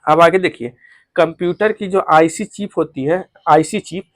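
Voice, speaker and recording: A man's voice: a little high, steady tone, moderate pace, no room sound. A man with a Bihari accent teaching exam questions as a tutor, repeating each question and answer and mixing in English terms.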